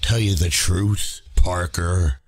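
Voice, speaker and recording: A man voicing a gruff, bossy middle-aged newspaper boss in a motion comic, blustering and barking with a gravelly voice. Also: low voice, fairly even intonation, slow, a little mellow, no room sound, full character voice. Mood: gruff, dismissive.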